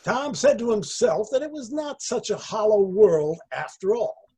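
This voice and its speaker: High, husky-voiced man reading a story. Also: big pitch swings, a little slow, darkish tone. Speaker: story reader. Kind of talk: expressive literary narration.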